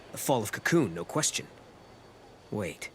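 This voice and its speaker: A man's voice: lowish voice, big pitch swings, measured pace, a bit bright, slight background noise. An actor playing a determined young male traveler in a video game, plain-spoken, casual and direct. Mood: serious. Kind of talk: video game cutscene acting.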